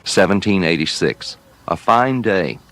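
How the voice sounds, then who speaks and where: A man's voice: low voice, animated, measured pace, a bit bright, slight background noise. A man voicing an old-time college diarist in a documentary-style reading. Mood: measured.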